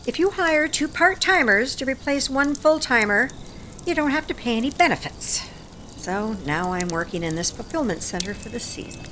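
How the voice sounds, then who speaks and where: An American actress, middle-aged, playing a blunt, salty older warehouse worker in a naturalistic audio drama. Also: female voice, a little high, expressive, moderate pace, noisy background, natural voice. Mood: matter-of-fact. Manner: salty, plainspoken, folksy. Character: blunt, aging warehouse worker living in a van.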